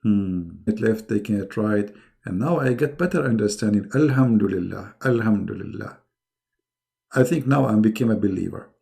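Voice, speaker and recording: A man's voice: lowish voice, normal speed, vocal fry, dark tone. A middle-aged man who speaks English as a second language hosts a live online debate in a mocking, emphatic, sarcastic way. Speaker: debate host.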